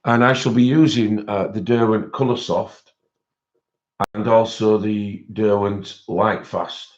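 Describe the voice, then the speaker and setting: British man, croaky voice, low-pitched, rambling livestream chat, dull lo-fi audio. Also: steady tone, takes it slowly. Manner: rambling, many fillers, greets viewers by name. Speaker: livestream host.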